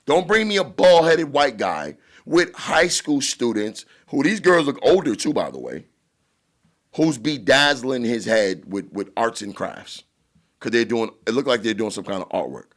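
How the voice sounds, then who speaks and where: Booming animated man, New York accent. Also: moderate pace. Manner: animated, blunt, emphatic, swears freely. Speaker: podcast co-host. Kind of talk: casual podcast chat.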